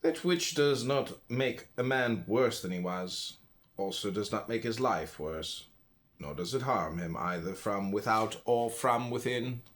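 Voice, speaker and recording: A man from the United States reads philosophical writing aloud. His voice sits a little low. He delivers it deliberately, one phrase at a time, leaving pauses between them. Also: expressive, fairly bright tone. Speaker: audiobook reader. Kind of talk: measured reading aloud of philosophical text.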